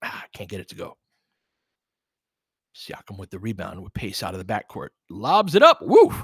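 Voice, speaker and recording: A man's voice: fairly low pitch, wildly swooping pitch, moderate pace, a bit bright, slightly rough, no room sound, studio quality. A man hosting live basketball commentary as a partisan fan, mixing clipped play calls with conversational tactical breakdowns. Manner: partisan fan calling plays in short clipped phrases, explaining conversationally.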